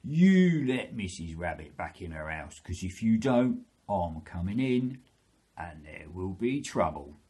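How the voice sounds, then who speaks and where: A man's voice: deep voice, sing-song, measured pace, mellow, slightly rough, full character voice. A man voicing a protective, swaggering bear who talks bluntly and confidently in a children's story. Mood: threatening. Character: blustering, protective male bear, confident and threatening.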